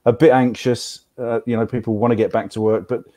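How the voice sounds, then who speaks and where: An American man hosting a podcast, speaking casually with many pauses and repeated words. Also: mid-range voice, level tone, warm tone. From United States. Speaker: podcast host. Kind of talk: casual conversation.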